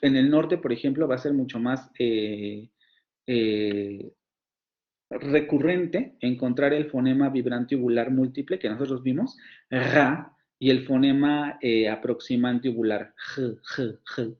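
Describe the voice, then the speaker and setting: A man with a Mexican Spanish accent teaching a university phonetics class online, his voice at a middling pitch. He explains step by step, pausing on fillers, restarting phrases and checking in with rhetorical questions. The sound is dull and lo-fi. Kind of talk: online lecture.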